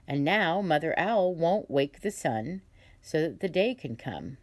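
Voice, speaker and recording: A woman voicing a commanding male lion king who questions the animals in a loud, formal way, in a storybook read-aloud. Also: low voice, big pitch swings, unhurried, exaggerated voice. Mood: stern.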